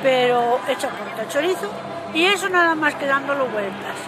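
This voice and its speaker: A woman's voice: high-pitched, expressive, fast talker, over loud music. An older woman explaining things casually in an outdoor interview, repeating phrases for emphasis. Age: 60s or 70s. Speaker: cooking contest entrant. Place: outdoor town square. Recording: outdoor camera sound with loud crowd.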